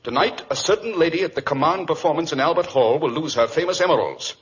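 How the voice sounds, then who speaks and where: A man with a British accent playing a keen, imperious, sardonic Victorian detective in an old radio drama, crisp and dryly condescending. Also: on the high side, expressive, fast, fairly bright tone. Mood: dramatic reading. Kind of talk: 1940s radio acting. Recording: muffled, noisy old radio recording.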